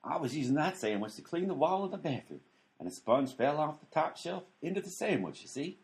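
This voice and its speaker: A man's voice: on the high side, very animated, fairly quick. A man playing a dim-witted, unbothered sandwich maker in a radio comedy, speaking in a folksy, matter-of-fact way. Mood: obtuse, unbothered.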